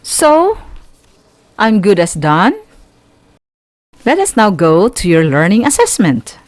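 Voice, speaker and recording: A woman with a Filipino accent giving an instructional radio lesson in a deliberate, teacherly way. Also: medium pitch, huge pitch swings, no echo.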